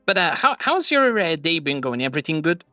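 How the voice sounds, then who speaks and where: Bright-voiced guy streamer rambling and laughing a lot, dry close mic, faint music behind. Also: a little high, sing-song, a little fast, no room sound. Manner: rambling, laughs often, self-mocking. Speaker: streamer host. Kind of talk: casual chat.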